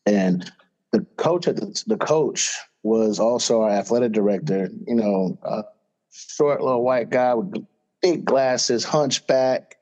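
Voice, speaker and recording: A middle-aged man from Florida, a wrestling coach, talking as a podcast guest in a relaxed, casual storytelling way with plenty of fillers. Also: mid-range voice, slow pace, rough recording. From Florida, USA. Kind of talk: casual interview talk.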